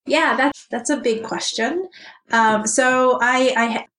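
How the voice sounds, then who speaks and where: A woman's voice: on the high side, slow, fairly bright tone. A woman who grew up in Japan, co-hosting a casual podcast chat and talking thoughtfully with many restarts and fillers. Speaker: co-host, program co-chair.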